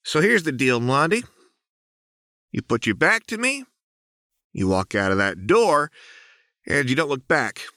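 A man's voice: mid-range voice, sing-song, measured pace, pauses often, sharp tone, no echo, studio quality, voice pushed up. A man voicing a manic, mischievous young woman prisoner who taunts with sly, breezy remarks. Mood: taunting.

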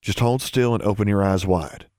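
Man playing a calm, sardonic young male wizard. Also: medium pitch, brisk, no room sound, studio quality, close to an everyday voice. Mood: commanding. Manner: patronizing, unflappable, commanding. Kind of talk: audiobook character voice.

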